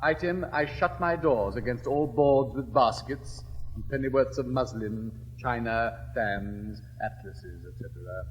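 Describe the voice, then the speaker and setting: Witty elegant gentleman, played by a man, crisp declamatory stage delivery, long reverb, faint hiss. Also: medium pitch, measured pace, few pauses, close to an everyday voice. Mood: wry. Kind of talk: classic stage comedy acting.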